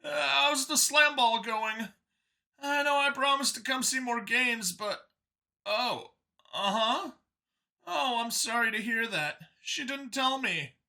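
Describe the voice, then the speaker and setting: A man voicing an anxious alien father who pleads and gushes haltingly in a strained voice, for a story. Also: vocal fry, sharp tone, heavily acted. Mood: eager, awkward. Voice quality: high, strained voice. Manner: halting, hesitant, gushing and apologetic by turns.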